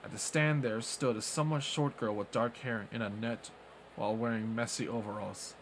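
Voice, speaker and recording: A man streaming, reading a visual novel aloud in a steady way with small slips. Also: mid-range voice, normal speed, slight background noise.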